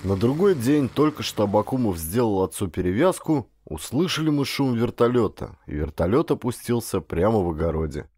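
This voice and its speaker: A man narrating a literary audiobook in an expressive, dramatic storytelling manner. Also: lowish voice, brisk, few pauses, slightly rough.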